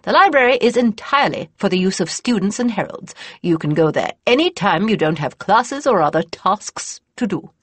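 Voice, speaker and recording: A woman's voice: mid-range voice, very animated, normal speed, few pauses, fairly bright tone, dry recording, pitched above the performer's own voice. Tired, sardonic middle-aged male dean read by a woman, formal, audiobook, dry close sound. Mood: explaining.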